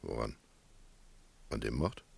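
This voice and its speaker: An actor playing an uneasy, plain-spoken kiosk keeper in a radio play, defensive and curt with customers. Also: male voice, a bass voice, animated, takes it slowly, gravelly, husky, no echo, full character voice. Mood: weary, uneasy. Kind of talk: German radio play acting.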